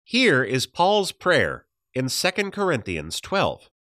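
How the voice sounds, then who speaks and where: A man reading a religious study audiobook as a scripted narration, measured and enunciated, with deliberate pauses. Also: mid-range voice, wildly swooping pitch, pushed and bright, dry recording, studio quality.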